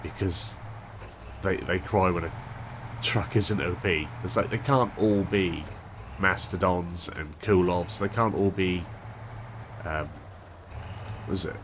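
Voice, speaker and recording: A man hosting a gaming channel, chatting casually over gameplay with a stuffed-up, unwell voice, thinking aloud with a monotone delivery. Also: low voice, takes it slowly, pauses often, background noise. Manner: rambling, thinking aloud with restarts.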